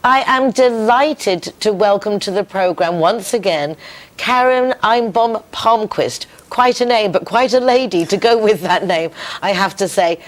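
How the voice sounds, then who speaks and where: A woman's voice: medium pitch, a little slow, soft background music. A woman hosting an interview talk show, chatty and full of compliments for her guests. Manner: warm, effusive compliments. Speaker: TV show host.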